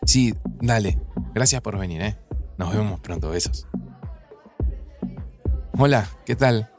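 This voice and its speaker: An actor playing a sociable, affectionate, easily distracted groom in an audio drama, with casual Argentine speech. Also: male voice, lowish voice, takes it slowly, a little mellow, soft background music. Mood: cheerful, chatty. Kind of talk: naturalistic audio drama acting.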